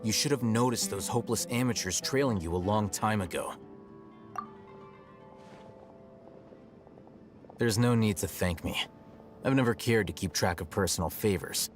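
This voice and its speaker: Cool, cerebral young male scholar played by a man: low, detached, measured, condescending, soft game music underneath. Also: lightly acted. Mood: cool, dismissive. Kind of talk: video game voice acting.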